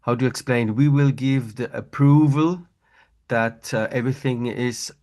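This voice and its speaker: A man's voice: mid-range voice, unhurried, a little mellow. A man from Switzerland with a Swiss French accent, a native French speaker, hesitantly explaining his startup on a video call. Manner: hesitant, searching for words. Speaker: webinar participant. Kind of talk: casual chat.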